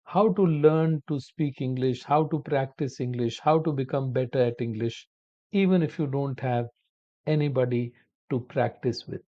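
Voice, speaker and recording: A man teaching a spoken-English lesson to viewers, talking slowly and deliberately and calling them his dear friends. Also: mid-range voice, warm tone. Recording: dull lo-fi audio.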